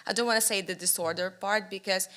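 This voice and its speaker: Bright-voiced Ukrainian woman, enthusiastic panelist. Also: medium pitch, fast. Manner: enthusiastic, animated, with gestures. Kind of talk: panel discussion. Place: conference room, panel table. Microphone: table microphone.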